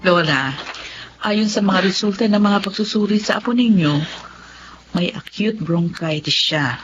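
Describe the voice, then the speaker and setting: An actress playing a kind, calm Filipino doctor in a Tagalog radio drama, explaining gently and reassuringly. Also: female voice, medium pitch, unhurried, natural voice. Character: kind, calm, generous female clinic doctor. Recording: dull lo-fi radio drama, music underneath.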